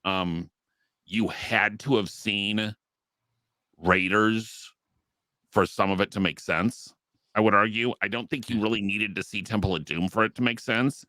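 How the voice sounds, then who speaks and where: A man's voice: lowish voice, fairly even intonation, measured pace, pushed and bright, no room sound. A man giving thoughtful, argued opinions as a panelist in a casual podcast discussion.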